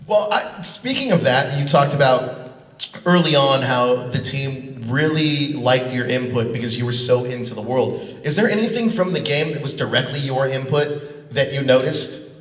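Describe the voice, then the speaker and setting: Male moderator, mid-pitched voice, relaxed conversational questioning, reverberant hall, off-mic sound. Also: normal speed. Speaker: panel moderator. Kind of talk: casual interviewing. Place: large convention hall panel. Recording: echoey hall PA, distant from mic.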